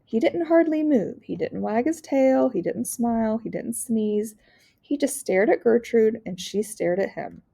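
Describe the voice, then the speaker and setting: Woman teacher reading a kids novel out loud, relaxed mellow voice w some vocal fry. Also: fairly high pitch, a little slow. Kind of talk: children's novel read-aloud narration.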